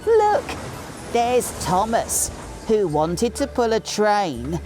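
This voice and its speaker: A man's voice: high voice, wildly swooping pitch, extremely slow, over music, voice pushed up, heavily acted. A man voicing mocking, know-all railway engines in a teasing way for a children's story.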